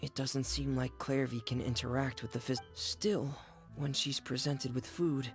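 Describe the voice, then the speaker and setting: A woman voicing a quiet, analytical young observer in a video game, careful and matter-of-fact. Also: very deep voice, level tone, normal speed, faint music behind. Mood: calm, thoughtful.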